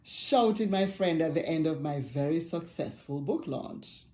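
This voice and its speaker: An older woman, an author, reading a book's foreword aloud from her home study with expressive storytelling emphasis. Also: deep voice, a little slow. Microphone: webcam at desk, close framing.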